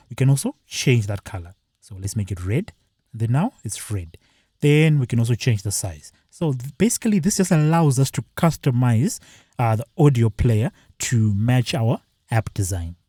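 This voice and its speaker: A man presenting a software tutorial, explaining each step plainly as he walks through a screen-share. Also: mid-range voice, husky, dry recording, clean studio sound.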